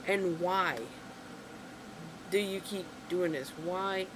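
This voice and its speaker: A casual vlogger rambling through an exasperated rant, full of fillers and restarts. The voice sits at an ordinary middle pitch. A steady air-conditioning hum fills the noisy room behind it. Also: slow pace. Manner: rambling, exasperated, heavy on "you know" and "like". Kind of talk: casual opinion rant to camera.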